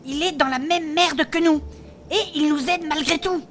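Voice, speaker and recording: A woman's voice: high-pitched, normal speed, a little hiss or hum, lightly acted. A woman playing a spirited, frank, good-hearted adventurer in an audio fantasy comedy. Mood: firm, earnest.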